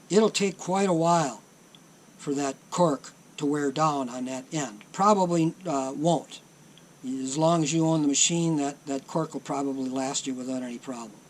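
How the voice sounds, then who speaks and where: A middle-aged man giving a slow, plainspoken workshop demonstration of a repair, often pausing on ums and uhs. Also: on the high side, slight background noise. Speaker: tape deck repair technician.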